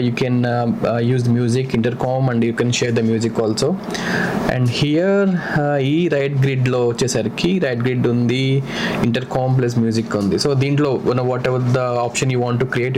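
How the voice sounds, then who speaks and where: A man with a Telugu Indian accent giving a casual product walkthrough as a vlogger, halting and hesitant, often switching into English. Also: medium pitch. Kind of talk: casual unboxing and app walkthrough. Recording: clear background ambient noise.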